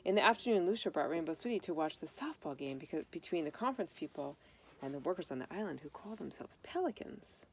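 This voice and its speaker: A woman's voice: low voice, animated, quick pace, a little hiss or hum. A woman reading a children's picture book aloud, explaining things simply and speaking directly to young listeners. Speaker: storybook reader.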